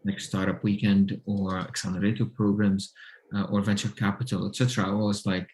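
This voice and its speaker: Syrian-accented man, halting answers. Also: on the low side, little pitch movement, darkish tone. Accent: Syrian Arabic. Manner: halting, many fillers, non-native phrasing. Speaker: guest entrepreneur. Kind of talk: interview answers.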